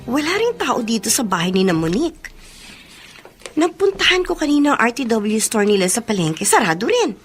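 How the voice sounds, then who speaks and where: A woman playing a scheming, indignant young friend thinking aloud in a Filipino radio drama, with a husky voice. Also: a little high, big pitch swings, normal speed, soft background music. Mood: suspicious, gloating.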